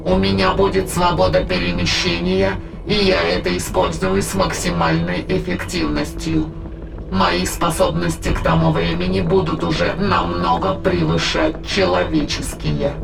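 A man playing a cold, calculating alien entity in an audio drama, speaking in a measured, clinical way over music. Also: sits high, flat delivery, vocal fry, poor audio. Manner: measured, clinical, matter-of-fact. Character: alien immaterial entity in a dead woman's body, cold, calculating.

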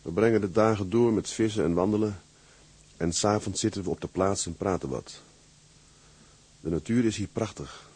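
An actor playing a weary, gruff sea captain in a Dutch radio drama, curt and hesitant. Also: male voice, on the low side, normal speed, darkish tone. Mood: calm, reflective. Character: weary, gruff sea captain, brooding but fond of his crew.

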